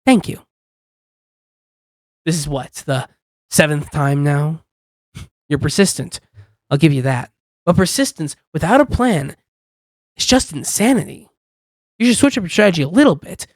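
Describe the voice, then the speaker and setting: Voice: medium pitch, animated, no room sound. Performer playing a smug, teasing demon, close and dry. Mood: amused, condescending. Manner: teasing, coaxing, unhurried with lingering pauses. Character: smug, teasing demon contract-dealer, calmly dominant over captive. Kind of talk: ASMR roleplay, intimate scripted monologue.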